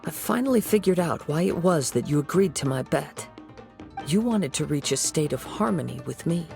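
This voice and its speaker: An actor playing a calm young male wandering samurai in a game, measured and poetic. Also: a little high, creaky, faint music behind. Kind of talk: game voice acting.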